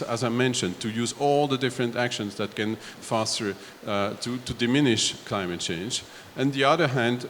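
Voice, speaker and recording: A man from Belgium on a discussion panel, laying out his points one step at a time in a halting way. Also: medium pitch, fairly bright tone. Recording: echoey hall, faint background noise.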